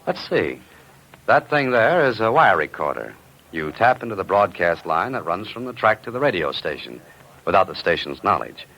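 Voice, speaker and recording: A man's voice: low-pitched, moderate pace, dull audio, heavily acted. An actor playing a cool-headed, wry amateur sleuth who narrates his own adventure in a vintage radio drama. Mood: cool, shrewd. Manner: wry, deadpan, unruffled. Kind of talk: 1940s-50s radio detective acting and narration. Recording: noisy vintage radio recording.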